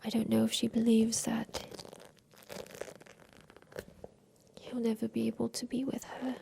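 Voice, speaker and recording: Actress as aging attic-bound woman plotting revenge; hushed, creaky whisper, halting, confiding ASMR roleplay delivery, narrow pitch movement. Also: completely flat delivery, noisy background. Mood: resolute, hushed. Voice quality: soft breathy whisper. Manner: slow, wistful, confiding, halting.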